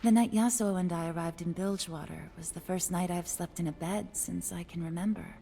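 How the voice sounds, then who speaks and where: A woman playing a wistful, guarded fox spirit in a fantasy game, speaking softly, breathily and hesitantly. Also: on the low side, fairly even intonation, fairly quick, vocal fry, soft background music. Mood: warm, reserved. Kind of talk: cinematic fantasy game acting.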